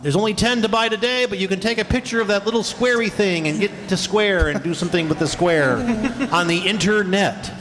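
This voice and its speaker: Male event announcer, informal and jokey, high pitch, reverberant live hall. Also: fairly quick. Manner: folksy, jokey, loose. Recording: echoey hall, background noise.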